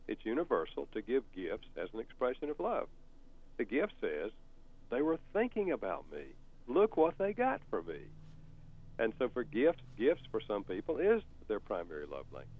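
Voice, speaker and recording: A man's voice: mid-range voice, big pitch swings, normal speed. An older man with a Southern US accent, explaining things on a talk show in a folksy, storytelling way. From North Carolina. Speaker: author and counselor guest. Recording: tinny phone-like sound.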